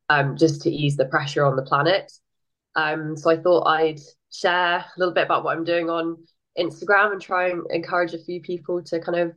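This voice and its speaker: A woman's voice: sits low, a bit bright. Nasal woman with a cold. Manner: enthusiastic, laughs often. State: has a cold, a bit nasal. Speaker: guest. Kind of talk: casual interview chat.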